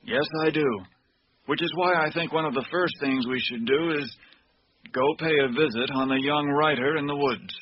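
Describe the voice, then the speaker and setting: An actor playing a composed, analytical investigator in a radio mystery, speaking formally and deliberately on an old cassette recording. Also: male voice, on the high side, natural voice. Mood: calm, assured. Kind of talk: radio drama acting. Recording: dull, noisy old cassette tape.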